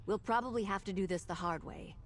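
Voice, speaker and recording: A woman's voice: medium pitch, a little fast, close to an everyday voice. A woman voicing a dry, practical mage companion. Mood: matter-of-fact. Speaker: game character. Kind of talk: video game dialogue.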